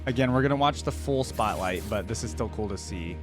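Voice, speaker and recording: A man's voice: on the low side, lively intonation, a little fast. A man hosting a reaction video, thinking aloud in an informal, exclamatory way as he comments. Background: game trailer and theme music.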